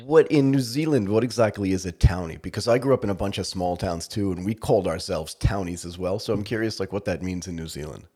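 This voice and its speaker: A man's voice: deep voice, quick pace, hardly any pauses, mellow, husky. A man hosting a podcast, asking curious, enthusiastic questions. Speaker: podcast host. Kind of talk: podcast interview.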